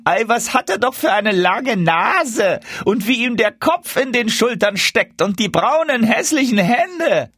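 A man's voice: very high voice, sing-song, a little slow, few pauses, a bit bright, husky, no echo, clean studio sound, pitched above the performer's own voice, full character voice. A man voicing jeering, gawking townsfolk in a fairy tale, calling out mockingly. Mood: mocking, amazed. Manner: mocking, exclaiming calls. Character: jeering street crowd gawking at an ugly dwarf. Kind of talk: storybook character voice in a fairy tale reading.

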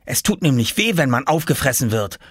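A man voicing an excitable, bossy, impatient little boy in a children's storybook, exclaiming and scolding urgently. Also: medium pitch, brisk, slightly rough, no echo, clean studio sound, voice pushed up. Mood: annoyed, bossy.

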